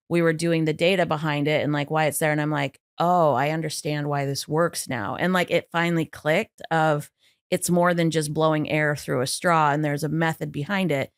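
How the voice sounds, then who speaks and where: Low-voiced woman vocal coach, chatty. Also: smooth, dry recording, clean studio sound. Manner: animated, chatty storytelling with many fillers. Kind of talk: casual podcast interview.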